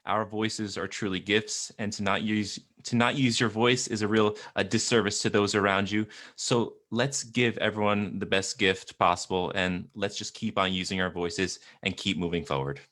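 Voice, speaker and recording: A man, a speech-language pathologist, answering as a guest in an interview in an earnest, steady way. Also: lowish voice, normal speed, few pauses.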